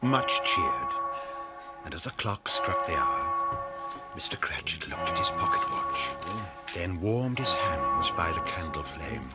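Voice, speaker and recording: An actor narrating a Christmas radio drama as a measured, theatrical storyteller. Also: male voice, deep voice, animated. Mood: measured storytelling. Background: music.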